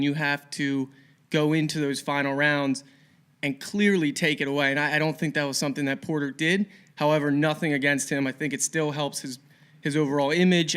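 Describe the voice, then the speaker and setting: A man co-hosting a sports podcast, giving casual fight analysis in an earnest, measured way. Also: on the high side, fairly bright tone, smooth. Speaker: boxing podcast co-host.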